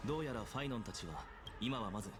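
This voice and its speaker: A man's voice: on the low side, expressive, very fast, natural voice. An actor playing a serious male adventurer in scripted fantasy game dialogue. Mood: grave, focused. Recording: game music behind the voice.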